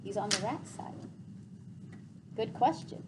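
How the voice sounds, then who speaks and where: A woman reads aloud as a teacher, with very lively intonation. Her pace is a little slow and her phrasing explanatory, over faint room noise. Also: medium pitch. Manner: clear explanatory phrasing, repeats pupils' answers. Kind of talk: expressive read-aloud with class questions. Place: classroom. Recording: faint classroom background noise.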